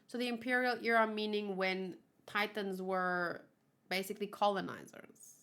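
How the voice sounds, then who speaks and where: A woman's voice: medium pitch, fairly even intonation, normal speed, fairly bright tone, clear, resonant tone. A woman whose first language is Afrikaans, chatting casually in a rambling, giggly way and often correcting herself as she hosts a manga read-along.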